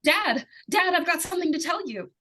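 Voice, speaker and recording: A woman's voice: extremely high pitch, animated, normal speed, bright, forceful tone, full character voice. A woman playing an earnest, pleading young girl in old-time radio suspense drama, urgent and insistent. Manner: urgent, breathless repetition and pleading. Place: live stage radio-play performance.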